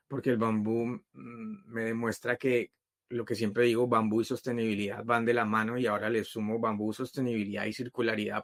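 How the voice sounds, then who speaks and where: A man's voice: mid-range voice, a bit bright, dry recording, clean studio sound. Colombian-born man telling his story. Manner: storytelling, many fillers and restarts. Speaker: guest, bamboo builder and founder. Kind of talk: casual interview talk.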